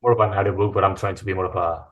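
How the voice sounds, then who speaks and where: Man, low voice, easygoing short questions, distant off-mic sound. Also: steady tone, very fast. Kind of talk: casual podcast conversation.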